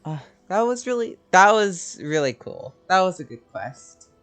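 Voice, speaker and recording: A man's voice: sits high, big pitch swings, a little slow, fairly bright tone, soft background music. A man giving casual commentary and reactions while he plays a video game. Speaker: gamer playing and reacting.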